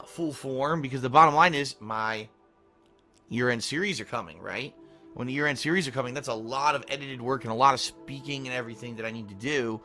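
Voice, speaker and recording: A male streamer with a hoarse, worn voice, casually running through his stream schedule, often clearing his throat and checking in with "okay?". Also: medium pitch, bright, forceful tone. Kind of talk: casual pre-stream schedule talk to chat.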